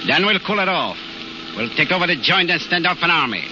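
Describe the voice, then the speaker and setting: An actor playing a tough, terse gangster boss on the run in a 1930s radio drama. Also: male voice, on the high side, expressive, a little fast, close to an everyday voice. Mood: hard, commanding. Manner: terse, commanding. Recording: scratchy, muffled 1930s radio recording.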